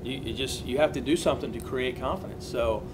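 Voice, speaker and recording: A man in his forties, a college baseball head coach, answering reporters plainly at a postgame press conference, with frequent restarts and fillers. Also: brisk. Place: press conference room in front of a backdrop. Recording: noisy press room with rumble.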